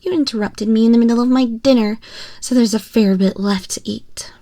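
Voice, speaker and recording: A woman's voice: a little high, warm tone, no echo, clean studio sound, close to an everyday voice. A woman voicing a haughty, teasing, domineering young empress in an ASMR roleplay, condescending and often dropping toward a whisper. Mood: teasing, imperious. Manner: condescending, slow, breathy purring with whispers. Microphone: close binaural microphone.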